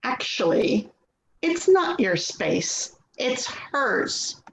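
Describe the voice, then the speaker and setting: A woman's voice: sits high, very animated, very slow, vocal fry, full character voice. A woman acting an anxious, self-doubting grown daughter in a play reading, speaking in a placating, hesitant way. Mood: defensive. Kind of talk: dramatic play reading, naturalistic dialogue. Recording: echoey, dull, noisy remote recording.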